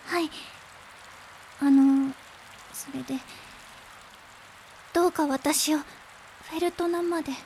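Very high-voiced young princess. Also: female voice, steady tone, slow, pauses often, darkish tone, a little hiss or hum, poor audio. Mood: timid pleading. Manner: polite, timid, pleading. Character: young, earnest, frightened sheltered princess. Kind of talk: radio drama acting.

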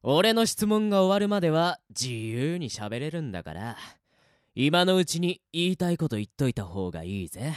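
A man acting in an audio drama as a cocky veteran death-game player who teases his rivals in rough, casual speech. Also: on the high side, sing-song, moderate pace, no echo, clean studio sound. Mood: smug, relaxed.

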